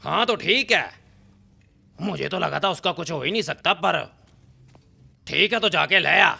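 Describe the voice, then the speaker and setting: A gruff grumbling dwarf smith. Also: male voice, a little high, expressive, a little slow, pushed and bright, heavily acted. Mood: scheming. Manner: brash, grumbling, colloquial. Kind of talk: Hindi game dub.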